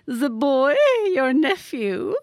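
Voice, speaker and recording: A woman's voice: sits high, takes it slowly, no echo, studio quality, pitched above the performer's own voice. A woman voicing a stout, elderly, haughty lady who speaks with gracious condescension in a storybook reading. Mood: condescending. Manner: gracious, patronizing, grand.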